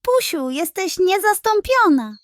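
Woman voicing a high-pitched, self-important greedy pug. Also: big pitch swings, unhurried, no echo, heavily acted. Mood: pompous, delighted. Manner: pompous and nonchalant, turning plaintive. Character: greedy, sly, self-important male pug, later contrite. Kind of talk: children's storybook character voice.

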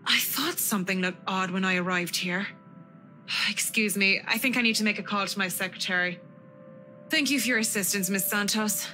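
Casting: woman playing a polite, professional female designer in a game, bright tone. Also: medium pitch, normal speed, soft background music, natural voice. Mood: calm. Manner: polite, businesslike. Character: poised adult female interior designer, professional. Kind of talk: video game voice acting.

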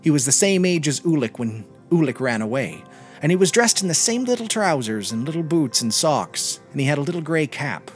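A man narrates a literary story in a slightly high voice. His pitch moves about with plenty of expression, and music plays behind him. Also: sing-song, a little fast. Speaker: podcast reader.

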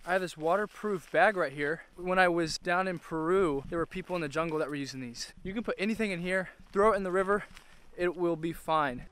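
Excitable young man vlogging on a trail. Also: sits high, brisk, clear, resonant tone. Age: young adult. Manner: excited, breathless narration while hiking. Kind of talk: casual outdoor vlog to a handheld camera. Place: outdoors on wooded trails. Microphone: handheld camera at arm's length. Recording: music playing under the voice.

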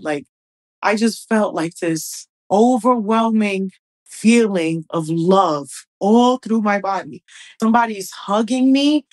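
Woman, thirties, mid-pitched voice, for earnest first-person testimony told conversationally and with emphasis. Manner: earnest, emphatic, acts out remembered dialogue. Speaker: guest sharing personal testimony. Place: small furnished room at home, indoors.

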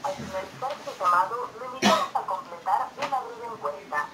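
A woman's voice: medium pitch, lively intonation, fairly quick. A woman reading a scripted customer-service announcement for a pizzeria phone line. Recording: phone on speaker in noisy room.

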